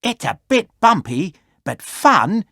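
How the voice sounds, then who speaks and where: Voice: mid-range voice, very animated, takes it slowly, pushed and bright, no echo, studio quality, voice pushed up, full character voice. A storybook narrator voicing a plucky, friendly little male cuddly toy. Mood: anxious, excited.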